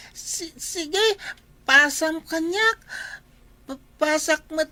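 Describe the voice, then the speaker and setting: A woman voicing an excitable little boy in a radio drama, giggling and stammering as he plays. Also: very high-pitched, drawn-out words, heavily acted. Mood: playful. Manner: squealing, giggly, stammering child talk.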